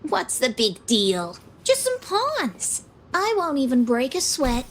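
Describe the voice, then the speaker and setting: Very high, swooping, boastful game fighter girl, drawn-out taunts over prominent music. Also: huge pitch swings, slight background noise, exaggerated voice. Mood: dismissive. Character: cocky, showy young female fighter. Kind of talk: video game voice acting.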